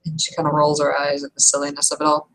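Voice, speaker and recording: A woman's voice: sits low, completely flat delivery, fast, poor audio. A woman casually narrating and explaining as the game master of a tabletop roleplaying game.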